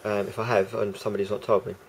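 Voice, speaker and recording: A man with a croaky voice talking casually to camera as a vlogger, rambling and hesitant with many fillers and restarts. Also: on the low side, level tone, rapid-fire, a little hiss or hum, rough recording.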